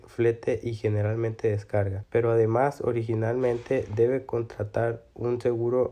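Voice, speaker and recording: A man with a dark, mellow voice presents prepared explanatory material. His intonation is very flat and his pitch is medium as he reads through the lesson. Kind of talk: scripted explanatory narration.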